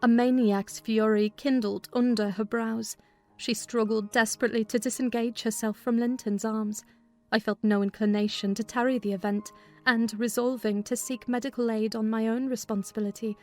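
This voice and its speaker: A woman narrator reading a classic novel as a dramatized audiobook, in an expressive literary manner. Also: on the high side, normal speed. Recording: music behind the voice.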